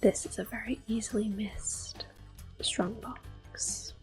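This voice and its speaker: A woman giving gentle, soft-spoken ASMR gameplay commentary in a breathy, unhurried whisper. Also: fairly high pitch, fairly even intonation, music in the background. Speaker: gameplay host.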